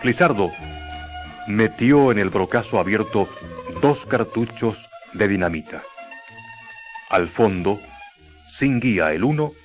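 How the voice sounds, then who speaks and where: A man narrating a radio drama in a scripted, literary storytelling style. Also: fairly low pitch.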